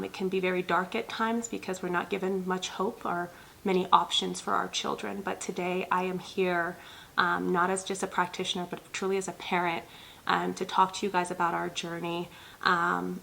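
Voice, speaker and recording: Female host, 30s, from Ethiopia; earnest direct-to-camera talk, low-ish bright tone with some fry. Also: slight background noise, low-quality recording. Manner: earnest, many ums, speaks directly to viewers. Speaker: pediatric nurse practitioner and channel host.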